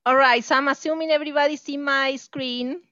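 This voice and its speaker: A woman from Argentina who speaks English with an Argentine accent delivers a relaxed online math lecture, laughing easily and filling pauses with "uh" and "you know." Her voice sits high. The sound is dry and a bit muffled, like a video call. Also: no room sound. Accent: Argentine Spanish. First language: Spanish. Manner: friendly, laughs often, many fillers.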